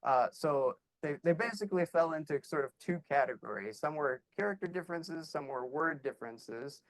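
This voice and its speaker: A man's voice: fairly high pitch, lively intonation, moderate pace, poor audio. Casual, meandering man giving a conference talk. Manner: loose, conversational, many fillers and restarts. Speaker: conference speaker. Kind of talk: conference talk presenting slides.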